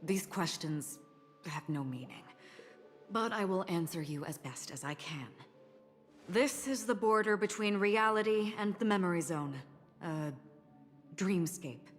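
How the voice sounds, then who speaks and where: A woman voicing an enigmatic, solemn, aloof woman in a game dreamscape, cool and deliberate, with dreamy reverb and music. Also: on the low side, expressive. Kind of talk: video game character acting. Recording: long reverb, music behind.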